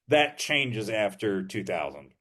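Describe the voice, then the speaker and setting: A man co-hosting a podcast, explaining history in a measured, casual way, thinking aloud with frequent ums and uhs. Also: fairly low pitch, big pitch swings, fairly bright tone.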